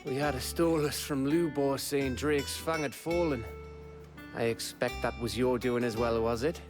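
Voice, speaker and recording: A man acting a friendly comrade in a fantasy game. His voice has an ordinary middle pitch, with music clearly playing behind it. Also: measured pace, natural voice. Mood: curious, impressed. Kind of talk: video game cutscene acting.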